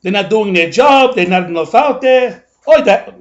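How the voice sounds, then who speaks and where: A man's voice: high voice, expressive, moderate pace, dull audio. A man playing a grumbling older male New Yorker, ranting in a rambling, indignant comic monologue full of asides.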